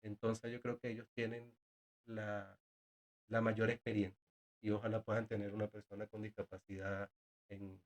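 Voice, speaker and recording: A man with a fairly low voice, talking as a guest in a relaxed conversation. He speaks earnestly and thoughtfully, often pausing and repeating words as he searches for them. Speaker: sign language interpreter and teacher of deaf students. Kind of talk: guest in a casual podcast conversation.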